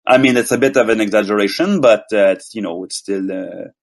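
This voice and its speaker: A man from Quebec, a voice actor guest, chatting casually in a relaxed, rambling, self-deprecating way. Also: lowish voice, brisk, creaky. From Quebec, Canada. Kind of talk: casual interview chat.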